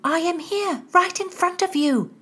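Lady doing a sneaky young maid of court, high hushed voice, bit sarcastic, audiobook. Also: unhurried, exaggerated voice. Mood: hushed, tart. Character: sardonic, secretive young court maid in hiding.

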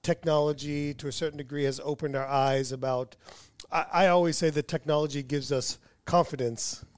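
A man's voice: on the high side, fairly even intonation, moderate pace, no echo, studio quality. A man in his forties hosting a podcast interview, rambling a little and correcting himself as he asks questions. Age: 40s. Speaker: podcast host and dentist. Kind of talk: casual interview chat.

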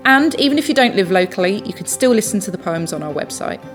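A woman co-hosting a podcast, reading scripted announcements in an even, polished way. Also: medium pitch, fast talker. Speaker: podcast co-host. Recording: faint music underneath.

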